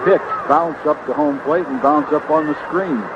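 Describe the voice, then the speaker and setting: A man's voice: a little high, moderate pace. An American man giving conversational color commentary on a live baseball radio broadcast. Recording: dull, very noisy vintage AM radio broadcast.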